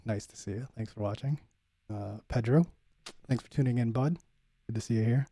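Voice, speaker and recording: A man hosting his own livestream, chatting casually with viewers in a relaxed, laid-back way, full of fillers and calling people "bud". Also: mid-range voice, moderate pace, slight background noise. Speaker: livestream host, bassist. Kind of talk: casual chat reading viewer comments.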